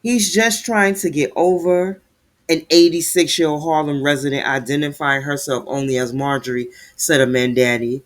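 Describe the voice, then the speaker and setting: A man's voice: mid-range voice, a bit bright. A man giving heated, sarcastic commentary while reading news aloud, stumbling over words as he reads.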